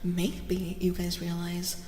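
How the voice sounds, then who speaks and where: A woman giving a soft-spoken ASMR tarot reading, hushed and gentle, often slipping into whispers and softly repeating reassurances. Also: lowish voice, completely flat delivery, takes it slowly, reverberant, long reverb tail.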